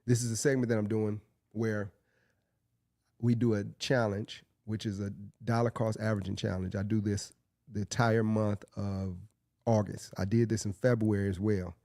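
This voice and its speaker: A man hosts his own podcast while under the weather, sounding a bit sniffly and congested. His voice sits fairly low and mellow, recorded dry and close. He talks loosely, circling back and restarting phrases as he goes. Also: no echo. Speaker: podcast host. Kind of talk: casual solo podcast talk.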